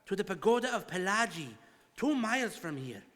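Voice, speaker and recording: In a reverberant hall, a man plays a cautious Indian guide in a radio play. The voice is notably high, formal and solemn, always deferential to his master. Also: big pitch swings, a little fast, fairly bright tone. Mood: grave explanation. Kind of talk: stage radio drama acting.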